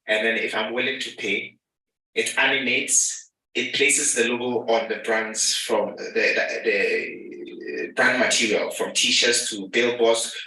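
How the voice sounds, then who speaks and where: Ghanaian man giving a lively talk, very bright tone, booming hall, mic set back. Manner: animated, acts out dialogue. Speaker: speaker. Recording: echoey hall, mic at a distance.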